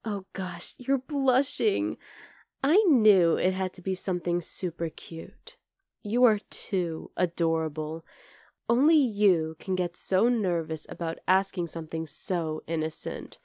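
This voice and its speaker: Casting: actress as young wolf-girl roommate for ASMR roleplay, fond teasing with tender exasperation, unhurried delivery, close dry mic. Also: fairly low pitch, mellow, dry recording. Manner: casual, exasperated but tender, sighing. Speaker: voice actor in audio roleplay. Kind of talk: ASMR roleplay, acted one-sided dialogue.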